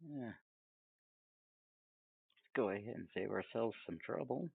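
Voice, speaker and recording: A man giving a casual, off-the-cuff software tutorial, rambling along with chatty, self-amused asides. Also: fairly low pitch, a little slow, creaky, slightly rough, no echo, phone-quality sound. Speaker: tutorial presenter.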